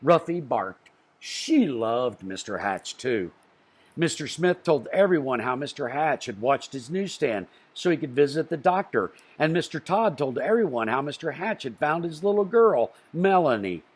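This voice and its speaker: A man reading a picture book aloud to children, expressively, with deliberate phrasing and stressed story beats. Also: fairly high pitch, lively intonation, breathy edge.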